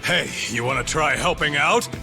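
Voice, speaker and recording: A man's voice: a little high, moderate pace, gravelly, over music. An actor in an English game dub playing a hot-tempered, menacing male yakuza bully with a taunting, snarling, explosive delivery. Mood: enraged.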